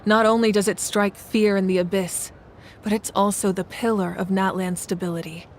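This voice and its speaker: An actress playing a proud, resolute female ruler in a video game, speaking in a measured, solemn and commanding way. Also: medium pitch, fairly even intonation, slight background noise, natural voice. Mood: solemn resolve. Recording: music behind the voice.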